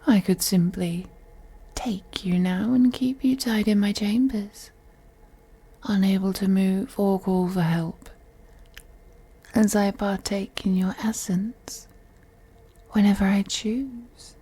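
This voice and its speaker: A very breathy, dark-toned vampire mistress, acted by a woman, speaking slowly and intimately. She purrs and teases, often sinking into a whisper. She is possessive and seductive in the manner of an ASMR audio roleplay. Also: medium pitch, fairly even intonation. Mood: possessive, menacing. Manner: slow, teasing, purring, often slipping into whispers. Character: wealthy, seductive, possessive female vampire toying with prey.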